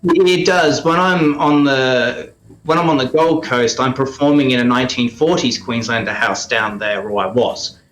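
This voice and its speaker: A man's voice: mid-range voice, moderate pace, a bit bright, faint music behind. Australian man talking in a roomy space. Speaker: radio play producer and performer, interview guest. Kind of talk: conversational interview answers.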